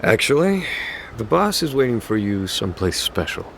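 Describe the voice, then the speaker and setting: A man's voice: on the low side, sing-song, normal speed, creaky, fairly bright tone, a little hiss or hum, full character voice. A man playing a sly, confident fixer loyal to his boss in an English video game dub, speaking in a casual, coy way.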